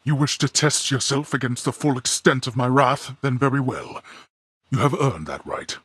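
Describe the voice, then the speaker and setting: Stern death god, game villain, very husky, dramatic swings in pitch, archaic disdainful diction, close dry sound. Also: male voice, very animated, moderate pace, a bit bright, no room sound. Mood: stern menace. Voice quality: husky, gravelly. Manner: formal, archaic, menacing, disdainful. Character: grim, imperious god of the dead and stern father. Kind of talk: theatrical video game villain acting.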